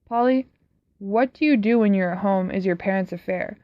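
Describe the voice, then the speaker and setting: A woman's voice: mid-range voice, moderate pace, dry recording, lightly acted. Firm, genial uncle read out by a woman, dull dry sound. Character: genial but firm middle-aged uncle. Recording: slightly dull, dry recording.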